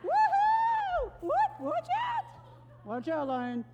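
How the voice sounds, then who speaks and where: A woman playing a nervous male lion trainer with a high, squeaky voice in a children's church skit. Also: wildly swooping pitch, extremely slow, big-room sound, muffled recording, very noisy, full character voice. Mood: excited. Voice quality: high-pitched for a man, squeaky. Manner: flustered, exclaiming, childlike. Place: church sanctuary.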